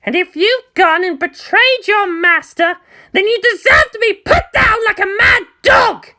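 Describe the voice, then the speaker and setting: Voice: extremely high pitch, measured pace, bright, forceful tone, voice pushed up, exaggerated voice. A performer voicing a brash, taunting teenage girl mech pilot in a storybook reading, combative and boastful. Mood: furious.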